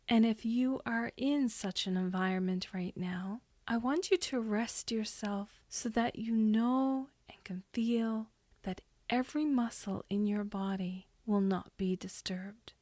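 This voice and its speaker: A woman with a soft, breathy, whispery voice leading a guided hypnosis meditation in a soothing, gently instructing way. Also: mid-range voice, no room sound. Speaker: hypnotherapist guiding a session.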